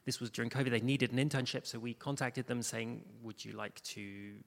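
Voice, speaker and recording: A man speaking informally as a panel guest, in a halting way with many restarts. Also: mid-range voice, steady tone, gravelly. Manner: hesitant, halting, restarts often. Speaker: panel guest, audio drama producer. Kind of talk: informal spoken anecdote on a panel. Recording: echoey hall with long reverb.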